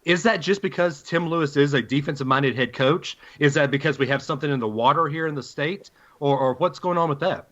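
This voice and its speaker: Higher-voiced male sports podcast host. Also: fairly quick, few pauses, fairly bright tone, muffled recording. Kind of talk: casual interview questions.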